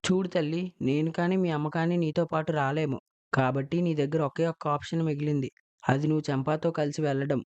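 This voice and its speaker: A man's voice: on the high side, steady tone, brisk, darkish tone, lo-fi sound, lightly acted. A man voicing a kind, reassuring father in a cartoon story.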